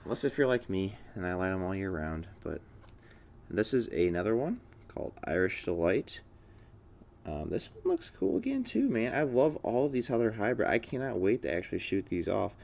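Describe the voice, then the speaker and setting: Male vlog host, American, low-pitched voice, informal and enthusiastic, chatting through an unboxing. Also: very animated, normal speed, creaky, darkish tone. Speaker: fireworks channel host. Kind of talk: casual unboxing commentary.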